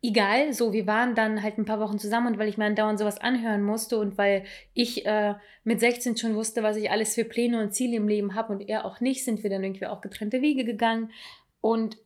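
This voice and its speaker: A woman around thirty chats candidly, spinning a personal story in a relaxed, self-mocking way. She stumbles and restarts now and then but keeps talking, frank and unguarded. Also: medium pitch, fairly quick. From abroad, came to Germany at ten. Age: 30s. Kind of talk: casual personal chat.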